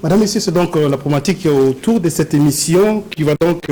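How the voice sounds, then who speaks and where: A man with a Congolese French accent hosting a television interview show in a formal, measured way. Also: a little high, mellow. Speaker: host of a TV talk show. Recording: clear background noise and ambience.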